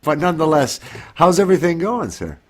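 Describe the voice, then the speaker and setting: A man's voice: fairly high pitch, sing-song, brisk. A man hosting a podcast interview, speaking with a cold and a slightly nasal voice in a friendly, joking way. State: has a cold. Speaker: podcast host. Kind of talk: interviewing a guest.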